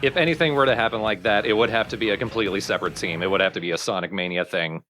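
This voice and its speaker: A man's voice: lowish voice, rapid-fire, pushed and bright, faint music behind. A man co-hosting a let's play, giving opinions in casual conversational game commentary. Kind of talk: casual gaming commentary chat.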